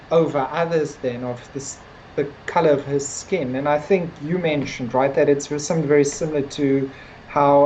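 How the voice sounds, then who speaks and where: Female co-host, quite low register, loose hesitant chat, noisy backdrop. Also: a little mellow, dull audio. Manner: hesitant, searching for words. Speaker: podcast co-host. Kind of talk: casual TV episode discussion.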